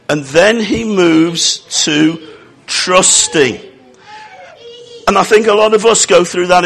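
A man preaching a sermon, croaky from a cold, with jokey, self-mocking asides. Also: high-pitched, fairly bright tone.

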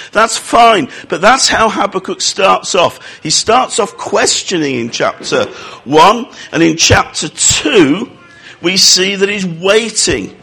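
A man preaching a sermon, croaky from a cold, with jokey, self-mocking asides. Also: sits high, sharp tone.

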